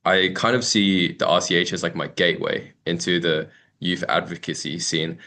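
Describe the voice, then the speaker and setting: Low-pitched young man presenting. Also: steady tone, moderate pace, bright, forceful tone. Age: young adult. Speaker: youth committee member presenting. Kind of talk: informal presentation to an online meeting.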